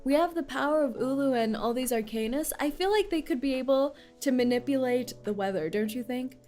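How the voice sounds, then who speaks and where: A woman's voice: high voice, a little fast, a little mellow. A woman streaming a game, chatting playfully and giggling as she reacts to the story. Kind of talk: casual gameplay commentary.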